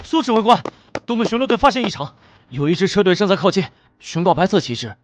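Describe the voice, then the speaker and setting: A man voicing an earnest junior soldier in an animated drama, reporting to superiors with eager urgency. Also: high voice, quick pace, background noise. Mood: alert. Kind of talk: animated motion-comic drama dubbing.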